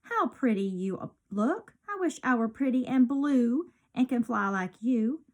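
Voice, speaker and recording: A woman voicing a small, fuzzy male caterpillar who wishes he were someone else, in a gentle storybook manner. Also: on the high side, expressive, unhurried. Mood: wistful.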